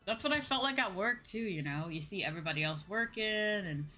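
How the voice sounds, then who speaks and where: A young woman chatting casually to viewers on a livestream in an expressive, rambling, self-deprecating way. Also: mid-range voice, brisk. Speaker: VTuber streamer. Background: faint background music.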